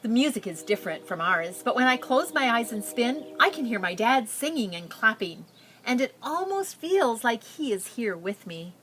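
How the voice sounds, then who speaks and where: A woman reading a picture book aloud, telling the story and pausing with simple explanatory asides for young listeners. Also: on the high side, sing-song, fairly bright tone, a little hiss or hum.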